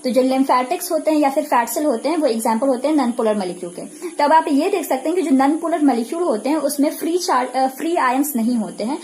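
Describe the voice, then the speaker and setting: A woman's voice: high-pitched, animated, quick pace, few pauses, mellow. A woman with an Indian accent giving an explanatory lecture in a didactic way, posing questions and then answering them herself. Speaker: physiotherapy lecturer. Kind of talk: explanatory lecture mixing Hindi with English technical terms.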